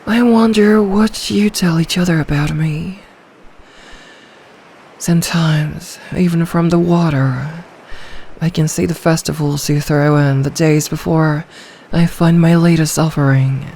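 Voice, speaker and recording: A woman playing an ancient, possessive sea dragon in human form for an ASMR roleplay, speaking in a regal, seductive, deliberate manner. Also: low-pitched, steady tone, warm tone, a little hiss or hum. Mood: musing, coaxing.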